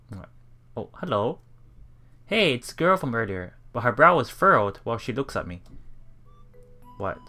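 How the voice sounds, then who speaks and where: A man's voice: mid-range voice, bright, forceful tone. A man reading a game aloud as a let's play streamer, reacting casually and repeating phrases as he puzzles things out.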